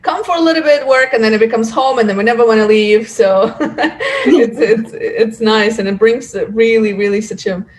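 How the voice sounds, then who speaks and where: A woman's voice: a little high, expressive, moderate pace. A woman hosting a podcast, chatty and enthusiastic, leaning on fillers like "kind of" and "like". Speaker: podcast host. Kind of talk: casual interview conversation.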